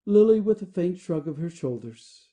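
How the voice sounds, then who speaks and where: A woman's voice: lowish voice, lively intonation, normal speed, warm tone. A woman giving scripted narration, reading out the stage directions of an audio play.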